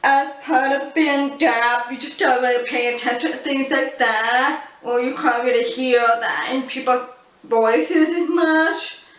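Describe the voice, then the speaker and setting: A young woman with a deaf accent talks slowly and earnestly, her high voice shaping each sound with a wide mouth and strong emphasis. She is a little distant from the camera's built-in mic, so the sound comes across roomy and echoey. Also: a bit bright. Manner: slow, earnest, with frequent ums. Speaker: vlogger. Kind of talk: casual storytelling to camera.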